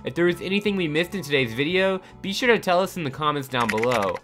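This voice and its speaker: A man reading a scripted countdown voice-over with upbeat delivery over soft background music. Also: on the high side, expressive, fast. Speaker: narrator of a countdown channel.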